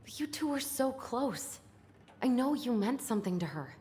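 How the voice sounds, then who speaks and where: A woman's voice: a little high, sing-song, moderate pace, mellow. An actress playing a determined, wounded young woman searching for answers in a video game, speaking earnestly and directly. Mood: frustrated, hurt. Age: young adult. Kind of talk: naturalistic video game acting.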